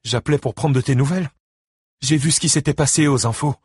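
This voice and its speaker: A man's voice: medium pitch, quick pace, darkish tone, husky, no echo, studio quality, voice pushed up. A man voicing a concerned, exasperated ex-wife and grandmother, speaking emotionally in an audiobook novel. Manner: exclaiming, emotional.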